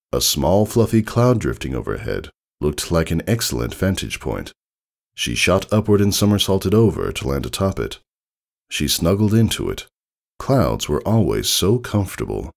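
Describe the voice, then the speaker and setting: A man narrates a story from a script. His voice is very deep and creaky, with fry in it. The intonation swings widely as he reads, keeping the delivery expressive. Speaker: fan fiction reader.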